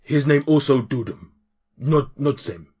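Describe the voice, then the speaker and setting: A man's voice: medium pitch, animated, moderate pace, darkish tone, slightly rough, dull audio, voice pushed up. A man voicing a cheery male half-orc bull keeper who talks in broken, muddled grammar.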